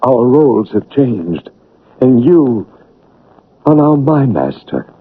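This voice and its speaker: A man playing a brooding, proud, blinded English gentleman in an old radio drama, with theatrical, halting delivery. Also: medium pitch, very animated, breathy edge, full character voice. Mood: bitter, yearning. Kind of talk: 1970s-80s radio drama acting. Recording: narrow, tinny old radio broadcast.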